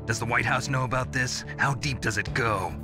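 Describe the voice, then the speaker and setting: An actor playing a gruff, cynical, tough covert soldier in a video game, terse and sardonic. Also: male voice, moderate pace, music in the background, close to an everyday voice. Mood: accusing, sardonic. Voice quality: gruff, low.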